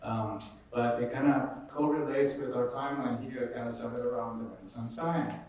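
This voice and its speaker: A man's voice: medium pitch, steady tone, normal speed, dull audio. A man from Colombia speaking as a panelist, earnest and rambling with many fillers. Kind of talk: casual panel discussion. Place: large echoey room, live event. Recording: echoey room from far off.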